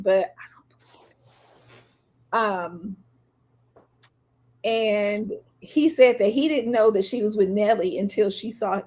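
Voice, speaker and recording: An American woman co-hosts a casual podcast with a husky, slightly rough voice at a middling female pitch. Her talk is candid and slangy, with emphatic opinions. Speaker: podcast co-host.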